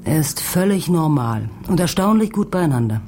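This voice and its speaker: A woman's voice: low-pitched, sing-song, normal speed, a little mellow, a little hiss or hum. An actress playing an easygoing young call girl in a radio crime drama, frank and matter-of-fact. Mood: frank, amused.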